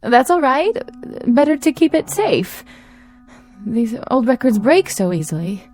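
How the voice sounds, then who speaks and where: An actress playing an anxious, devoted small-town wife in a radio drama, nervously cheerful and coaxing. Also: female voice, fairly high pitch, very animated, unhurried, darkish tone, soft background music. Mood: soothing.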